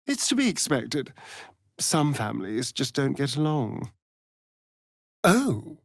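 Flamboyant vampire rogue for a fantasy game, arch n seductive, slow drawly lines, super animated pitch. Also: male voice, vocal fry, no echo, clean studio sound, full character voice. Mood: sly. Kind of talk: theatrical fantasy video game voice acting.

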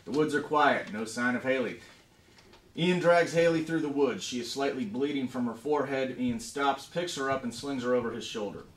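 A man with a mid-pitched voice narrates stage directions at a script read-through. He is some distance from the mic in an echoey conference room. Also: moderate pace. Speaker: screenwriter reading stage directions. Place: conference room around a long table. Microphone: camera mic across the room.

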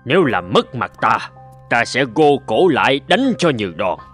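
An actor playing a haughty, bossy middle-aged master in a cartoon folk tale, speaking lines imperiously. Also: male voice, medium pitch, big pitch swings, moderate pace, a bit bright. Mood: doubtful, threatening. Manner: imperious, scolding servants. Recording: music behind the voice.

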